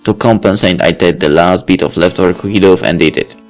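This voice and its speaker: A man's voice: sits low, quick pace, soft background music. A young man reading a visual novel aloud, adding wry, chatty asides. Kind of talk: reading aloud with off-the-cuff comments.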